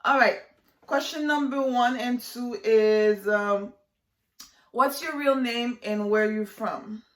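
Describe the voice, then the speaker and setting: A woman's voice: on the high side, takes it slowly, roomy sound. A woman in her twenties from Haiti, chatting casually to her viewers in a vlog, full of fillers and "like". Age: 20s. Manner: chatty, lots of fillers and likes. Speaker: vlogger. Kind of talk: casual vlog chat to camera.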